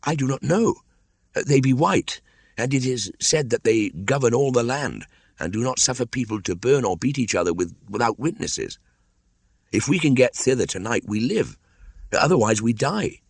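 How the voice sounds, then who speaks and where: A man's voice: mid-range voice, lively intonation, normal speed, gravelly, slightly rough, dry recording, pitched above the performer's own voice. A man doing a timid, frightened village mother. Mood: pleading. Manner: timid, pleading.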